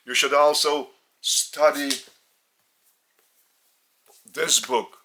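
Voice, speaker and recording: A man with a Lithuanian accent, a spiritual teacher speaking in short halting phrases with restarts. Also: fairly high pitch, fairly even intonation, bright, forceful tone, slight background noise.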